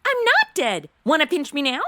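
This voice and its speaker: A brash, self-absorbed young woman in a comic audio drama. Her voice is sharp and swings widely as she exclaims and tosses out sarcastic slang. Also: sits high, wildly swooping pitch, moderate pace, exaggerated voice. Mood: indignant, shocked. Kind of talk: comedic audio drama acting.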